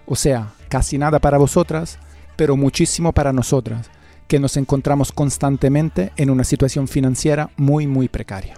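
A man's voice: mid-range voice, fairly even intonation, normal speed. A man hosting a radio show, presenting a scripted introduction. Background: faint music.

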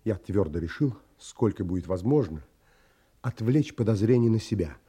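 An actor playing a composed man narrating his own story in an audio drama, measured and earnest. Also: on the low side, lively intonation, mellow, breathy edge.

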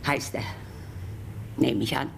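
A woman's voice: slow pace, loud music behind the voice, close to an everyday voice. An actress playing a grave, hesitant woman informant revealing a tragedy in a radio drama. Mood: grave, hushed.

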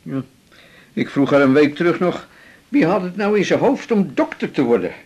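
An actor from the Netherlands playing a chatty, eager, smitten older patient in a Dutch radio drama, with a husky voice. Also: male voice, fairly high pitch, sing-song, moderate pace, darkish tone, exaggerated voice. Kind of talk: naturalistic radio drama dialogue.

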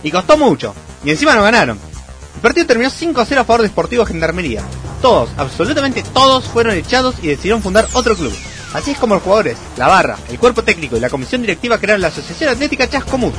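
A man as a mock-epic Argentine radio narrator, brisk and animated in a comic sketch, with faint music under the voice. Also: high-pitched. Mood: mock-solemn.

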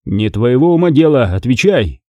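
A man's voice: mid-range voice, very animated, moderate pace, no room sound, dull audio, natural voice. A man playing a cold-blooded, mocking thug in an audiobook, taunting and domineering as he interrogates. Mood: angry, threatening.

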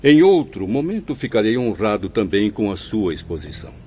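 An actor playing a courteous, dignified professor's spirit in a radio soap, speaking formally. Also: male voice, on the low side, big pitch swings, normal speed, mellow. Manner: formal, polite. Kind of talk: radio soap opera acting. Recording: dull lo-fi audio, faint noise.

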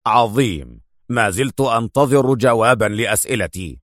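A man's voice: mid-range voice, animated, normal speed, fairly bright tone, no room sound, studio quality, close to an everyday voice. A man voicing a harsh, curt, corrupt police inspector in an audiobook. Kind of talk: audiobook character voice.

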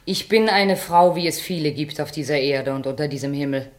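A woman's voice: sits low, fairly quick, close to an everyday voice. An actress playing a desperate, compelling tragic woman in a radio play, solemn and declamatory. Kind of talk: 1960 German radio play acting.

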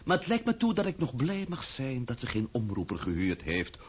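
An actor playing a proud, sardonic French count in an old-fashioned Dutch radio drama, speaking with ornate, ironic politeness. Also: male voice, mid-range voice, wildly swooping pitch, brisk, breathy edge, phone-quality sound. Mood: sarcastic, indignant.

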